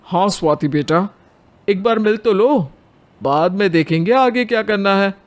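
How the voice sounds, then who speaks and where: Casting: man playing conservative, deferential middle-aged dad for a moral story, high-pitched male voice. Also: expressive, a little slow. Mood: agreeable. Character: traditional-minded, deferential middle-aged family father. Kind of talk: dramatized Hindi moral story.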